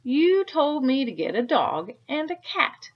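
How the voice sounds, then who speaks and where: A woman's voice: high-pitched, sing-song, a little slow, pitched above the performer's own voice. A woman voicing a fed-up, emphatic man who complains about his noisy house in a children's storybook. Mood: exasperated, angry. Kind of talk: children's storybook read-aloud. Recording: dull, lo-fi sound.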